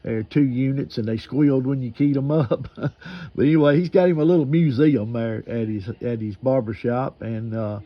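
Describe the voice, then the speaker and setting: Male ham radio operator, medium pitch, folksy rambling chat, dull lo-fi radio sound. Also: moderate pace, no room sound. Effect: radio transmission. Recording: dull, limited-band amateur radio audio.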